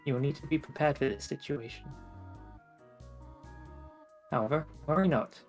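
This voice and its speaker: Someone voicing a gentle, formal motherly guardian. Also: mid-range voice, soft background music, natural voice. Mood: proud, reassuring. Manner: formal, measured, tender. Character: gentle motherly female guardian in a fantasy game. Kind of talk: video game dialogue read aloud.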